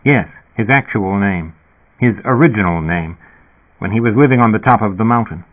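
A man's voice: fairly low pitch, expressive, moderate pace. A man voicing a confused, deluded man who rambles earnestly. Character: confused, deluded man fresh from cryonic suspension. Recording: tinny phone-like sound.

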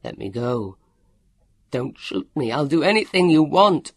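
A man's voice: on the high side, sing-song, a little slow, exaggerated voice. A man voicing a tall, brawny young student, a frightened captive who pleads and babbles in an audiobook. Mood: desperate pleading. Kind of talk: audiobook character voice.

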